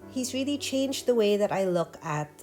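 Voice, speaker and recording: A woman's voice: medium pitch, animated, normal speed, dark tone, soft background music. A woman vlogger talking through a one-take voiceover in a chatty, earnest way, sounding a bit nasal from an allergy attack. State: stuffy nose from allergies. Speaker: vlogger and painter. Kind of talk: casual voiceover narration.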